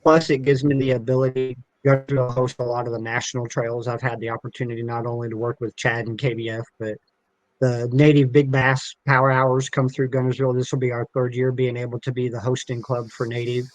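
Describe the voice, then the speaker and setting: A man's voice: mid-range voice, level tone, fairly quick, dry recording, low-quality recording. A man from the United States speaking as a podcast guest on a call, talking casually and earnestly about himself. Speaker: podcast guest, tournament director.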